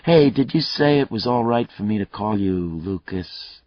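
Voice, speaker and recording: A man's voice: fairly low pitch, expressive, moderate pace, dry recording, pitched above the performer's own voice, lightly acted. Man reading a blunt, determined cop; urgent, no-nonsense; dry lo-fi read. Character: blunt, determined male police detective.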